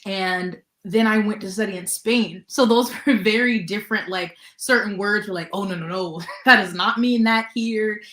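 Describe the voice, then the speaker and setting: A woman's voice: mid-range voice, normal speed, few pauses, a bit bright. Rambling young woman in an echoey room. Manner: rambling, lots of "like", laughs often. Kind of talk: casual chat.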